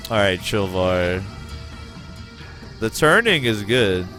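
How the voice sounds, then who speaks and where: An American man streaming a game, giving excitable, profane play-by-play and chatting with viewers. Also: on the low side, slow pace, soft background music. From United States. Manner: excitable, profane, talks to chat. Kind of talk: casual gameplay commentary.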